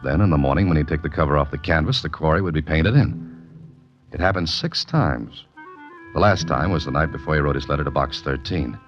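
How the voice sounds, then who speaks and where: A very deep-voiced American man plays a wry, laconic adventurer in a 1940s radio mystery. He narrates in hard-boiled, wisecracking fashion. The old recording is dull, with some music behind. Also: a little fast. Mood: wry narrating. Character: dry, wry writer and amateur adventurer, male narrator.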